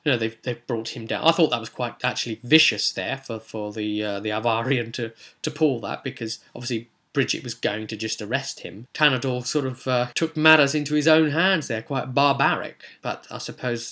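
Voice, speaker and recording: Brief: game master recapping his session, bright, rambling, lots of ums. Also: medium pitch. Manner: rambling, frequent ums and restarts.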